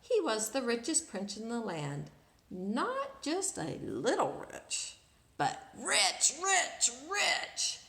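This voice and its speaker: A woman's voice: a little high, wildly swooping pitch, slow pace, creaky, sharp tone. A woman reading a picture book aloud to children expressively, drawing out words and putting questions to her listeners. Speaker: storyteller, children's read-aloud host. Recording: echoey room.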